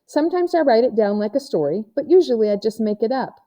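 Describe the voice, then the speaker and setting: Woman as an earnest young girl, very mellow. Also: fairly high pitch, animated, fast talker, natural voice. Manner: earnest, explanatory. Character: earnest, imaginative sixth-grade girl, friendly and patient.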